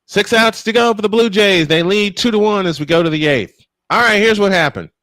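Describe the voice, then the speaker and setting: A man with a fairly high voice delivers live baseball commentary. His pitch swings widely as he moves between calls and emphatic, drawn-out storytelling. The sound is close and dry. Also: no room sound, poor audio. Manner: animated, emphatic storyteller with drawn-out dramatic phrasing. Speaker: play-by-play sportscaster.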